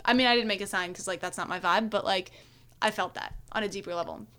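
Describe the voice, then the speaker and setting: A young woman with a hoarse voice, chatting casually and telling a long story full of fillers. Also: mid-range voice, quick pace, fairly bright tone. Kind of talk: casual chat.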